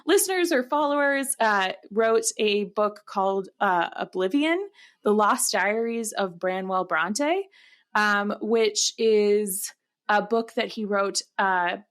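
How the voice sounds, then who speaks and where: A woman's voice: medium pitch, expressive, slow. A woman co-hosting a podcast, chatting casually about books in a conversational style. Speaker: podcast co-host. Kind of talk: casual book chat.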